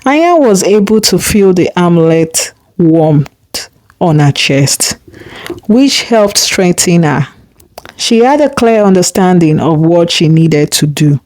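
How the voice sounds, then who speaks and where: Lady reading a folktale, real unhurried n deliberate, soft mellow voice, no room echo. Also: on the low side, animated. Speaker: narrator. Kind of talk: scripted storybook narration of a folktale.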